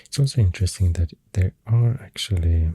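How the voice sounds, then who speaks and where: An ASMR creator with a Swedish accent, speaking very softly and often near a whisper, thinking aloud hesitantly into a close microphone. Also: low-pitched, brisk. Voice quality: very soft, breathy, often dropping to a whisper. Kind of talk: soft-spoken ASMR, rambling science facts.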